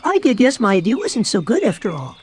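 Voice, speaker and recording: Tiny timid storybook piglet: high, husky, lively, eager. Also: male voice, a little fast, heavily acted. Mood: dejected. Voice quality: small, thin voice. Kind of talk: children's storybook read-aloud.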